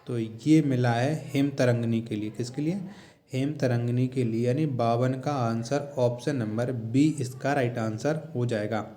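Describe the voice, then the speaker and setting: A man's voice: medium pitch, steady tone, a little slow, warm tone. A man teaching an exam-prep quiz lesson in an explanatory, repetitive way, posing short check questions and repeating answers.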